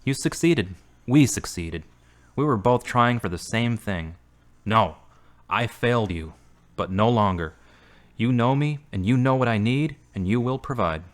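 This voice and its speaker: A man playing a weary, newly devout believer in an audio drama monologue, deliberate and confessional. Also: medium pitch, animated, lightly acted. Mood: humbled, resolute.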